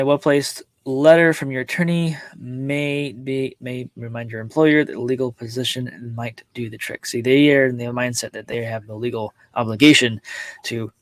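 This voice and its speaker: A man hosting a personal livestream, talking casually and confidingly to viewers in a halting, reflective way, often circling back and repeating his words. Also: mid-range voice. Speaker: host of a personal live-streamed show. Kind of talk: casual, confiding livestream talk to viewers, reading chat comments.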